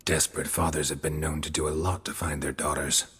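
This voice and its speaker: Casting: gruff monster hunter, video game. Extremely deep, very husky, dry, terse delivery. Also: male voice, little pitch movement, fairly quick, fairly bright tone, long reverb tail, exaggerated voice. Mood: measured. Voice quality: gravelly, husky. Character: gruff, laconic male witcher, a world-weary monster hunter. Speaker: monster slayer for hire.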